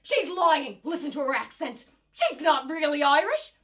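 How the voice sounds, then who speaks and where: Brief: woman acting a frantic, shrill mother on the stand. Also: sing-song, normal speed, fairly bright tone, far from the mic. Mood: outraged denial. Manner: shrill gasping outbursts, sobbing confession. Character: frantic mother denying guilt on witness stand. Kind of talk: audition scene reading.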